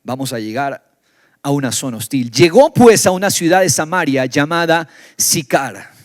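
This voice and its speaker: A man from Ecuador preaching a sermon in Ecuadorian Spanish, moving between loud exclamations and low, quiet stretches. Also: expressive, normal speed, no room sound, studio quality. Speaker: pastor. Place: church.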